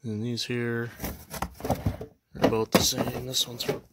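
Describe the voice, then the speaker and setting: A young man chatting casually in a vlog, halting and full of fillers, filming indoors at a house. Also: medium pitch, completely flat delivery, very noisy. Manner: halting, many fillers, unsure. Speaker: vlogger. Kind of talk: casual show-and-tell vlog.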